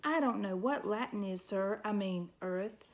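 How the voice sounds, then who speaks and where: A woman's voice: mid-range voice, a little slow, close to an everyday voice. A woman voicing a frightened young female deer mouse who pleads and stammers in a storybook reading.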